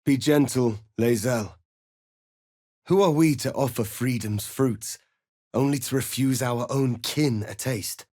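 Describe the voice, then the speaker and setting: An actor playing a proud, stern, noble alien rebel leader in a fantasy video game, speaking formally and with deliberate, solemn authority. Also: male voice, mid-range voice, dark tone, dry recording, studio quality. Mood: grave, measured. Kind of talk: dramatic fantasy game acting.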